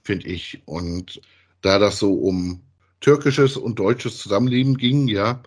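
A man's voice: lowish voice, measured pace. A man chatting casually as a podcast host in a colloquial, rambling way, often ending phrases with tag questions. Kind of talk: casual conversational review of audio dramas.

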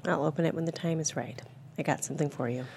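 A very low, creaky female voice from an actress plays a calm villain obsessed with destiny. She speaks in a measured, menacing way, with noise behind her. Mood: serene menace. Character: calm, sinister mysterious woman obsessed with destiny. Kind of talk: table read of a thriller screenplay.

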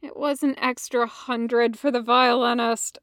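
A plaintive young man from a storybook , acted out by a woman reader. He is sheepish and defensive, pitched high, in a very dry recording. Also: level tone, unhurried, fairly bright tone, clean tone, no room sound. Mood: morose. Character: whiny, sheepish, lovesick-meddling young man. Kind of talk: storybook character voice.

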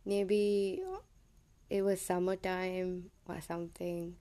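Slow, hesitant Indian-accented woman. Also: fairly low pitch, flat delivery, mellow. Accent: Indian English, drifting toward American. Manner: hesitant, many ums and self-corrections. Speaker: podcast host. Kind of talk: casual personal monologue.

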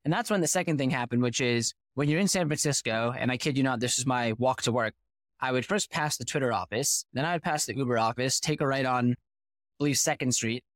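A man in his thirties who grew up in Boston, a podcast guest and company founder telling his story in a fluent, animated way with rhetorical questions. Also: mid-range voice, a little fast, no echo. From United States (Boston). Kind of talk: casual interview storytelling.

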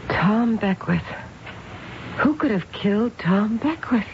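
A woman's voice: medium pitch, lively intonation, slow. An actress playing a sensible, concerned wife who reasons insistently with her husband in an old radio drama. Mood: wry, reassuring. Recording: muffled, noisy old radio recording.

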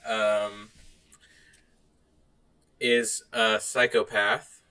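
Bright-voiced man bantering on a podcast. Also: fairly low pitch, little pitch movement, drawn-out words, clear, resonant tone. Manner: swears casually. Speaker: podcast co-host. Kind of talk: casual, joking banter.

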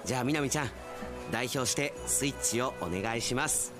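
A man playing an earnest, sentimental young railway station worker in a theatrical, expressive radio drama style. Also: medium pitch, fairly quick, over music, voice pushed down, close to an everyday voice. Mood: excited.

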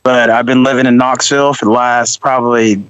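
A friendly man from Ohio talks over a video call in an easygoing tone. His voice sounds close and dry, with a mid pitch. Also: flat delivery, brisk, fairly bright tone, clean tone, no echo, muffled recording. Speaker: lead singer and guitarist guest.

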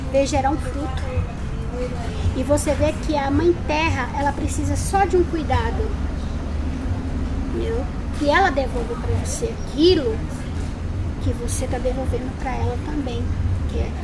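A Bahian woman in her forties speaks about farming in a heartfelt, reflective way, often adding little tag questions. Her voice sits high, and loud noise runs under her words. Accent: Bahian Portuguese. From Bahia, Brazil. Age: 40s. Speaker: urban farmer. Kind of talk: personal testimony interview.

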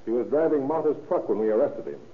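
A thin old-time radio recording. A male actor plays a police officer with a medium-pitched voice. He reports briskly and respectfully to a superior. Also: sing-song, narrow, tinny audio, lightly acted. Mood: businesslike. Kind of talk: 1930s-40s radio drama acting.

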